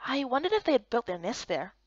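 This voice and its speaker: A woman giving an expressive voice-over reading of a visual novel story in character. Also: a little high, quick pace, no echo, muffled recording.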